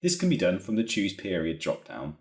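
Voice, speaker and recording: Low, lively man in an echoey room. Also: a little fast. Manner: methodical, explanatory. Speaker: software trainer. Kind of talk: instructional screen-recording narration. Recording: roomy, echoey voice recording.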